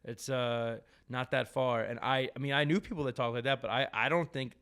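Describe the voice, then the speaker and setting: Male podcast host from New Jersey, gravelly low-key voice, chatty and stammering. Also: steady tone, fairly quick, fairly bright tone. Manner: chatty, lots of restarts and stammers. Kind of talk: casual chat.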